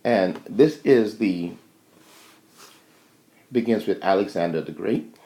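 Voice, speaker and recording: A man lecturing informally in a halting, think-aloud way, often checking in with short tags as he explains. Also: lowish voice, dark tone.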